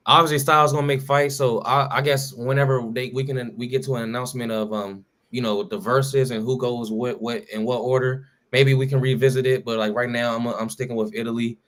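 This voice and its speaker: This man co-hosts a laid-back sports chat. He talks in loose slang and keeps restarting his sentences, with fillers everywhere. His voice sits at an average pitch for a man. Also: fairly even intonation, a little fast, few pauses, a bit bright. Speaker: podcast co-host. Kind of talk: casual sports talk.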